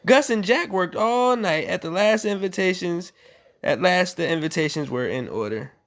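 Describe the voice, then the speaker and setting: A woman reading a bedtime story aloud in an animated style, with casual, playful and mocking asides. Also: lowish voice, moderate pace.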